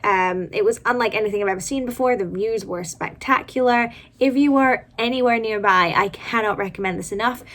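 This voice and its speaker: A woman's voice: mid-range voice, brisk, fairly bright tone. A woman vlogger narrating a travel video to viewers in a casual, chatty and enthusiastic way.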